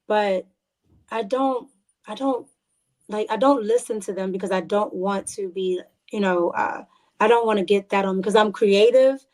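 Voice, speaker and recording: A woman's voice: mid-range voice, normal speed, a little mellow. A woman artist and songwriter chatting casually as a guest in an interview. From Cuban background.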